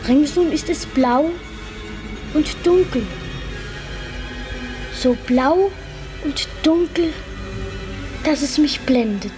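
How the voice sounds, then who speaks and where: A trusting young girl tells her tale in a radio play. She speaks high and slowly, with deliberate, dreamy wonder. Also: music in the background, rough recording. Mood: wondering, excited. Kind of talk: German radio play acting, storytelling narration.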